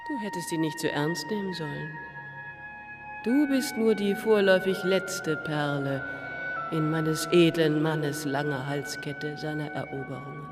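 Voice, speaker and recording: An actress playing a mocking, jealous wife of a philanderer, disdainful in a radio drama. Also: female voice, low voice, measured pace. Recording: distant, reverberant hall with music.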